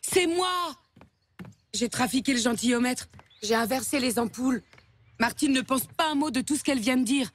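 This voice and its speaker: A woman's voice: sits high, moderate pace. A woman voicing a guilt-stricken little girl in a French cartoon, earnest and urgent. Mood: urgent, remorseful. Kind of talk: French cartoon dub acting.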